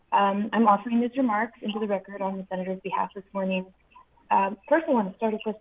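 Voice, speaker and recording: A soft-spoken woman, a senator's community affairs director, reading an earnest prepared public comment by phone with frequent ums. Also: medium pitch. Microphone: phone call-in. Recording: tinny phone line.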